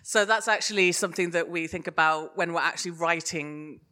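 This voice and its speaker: A woman giving an informal panel talk as a guest, giggly and animated, often correcting herself as she goes. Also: lowish voice, a little fast. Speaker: guest speaker, audio fiction producer. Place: live panel at a podcast festival.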